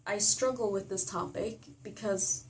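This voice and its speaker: A young woman from Sweden, speaking a personal video monologue in a thoughtful, measured way, sometimes searching for words. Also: mid-range voice, steady tone, slight background noise. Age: young adult.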